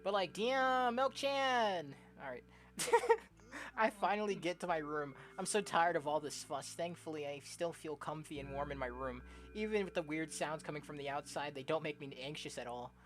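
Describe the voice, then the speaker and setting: A woman streamer doing a let's-play, reading visual novel text aloud with casual, slangy, expressive delivery. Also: deep voice, fairly quick. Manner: expressive, often giggly, slangy. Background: game music.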